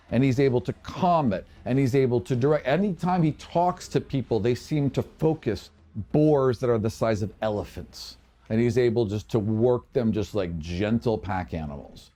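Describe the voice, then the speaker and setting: A man narrating a tabletop game as game master, with vivid, drawn-out descriptive delivery. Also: medium pitch, animated, warm tone. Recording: noisy, with ambient sound behind.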